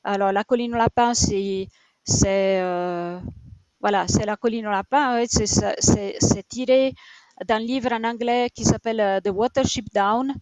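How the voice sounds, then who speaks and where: A woman's voice: medium pitch, a little slow, a little mellow, smooth, dry recording, poor audio. A woman in her forties from Italy, speaking French with an Italian accent as a shelter founder in an interview, hesitant and full of fillers. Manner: hesitant, many fillers and restarts. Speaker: rabbit shelter founder, guest. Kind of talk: interview answers.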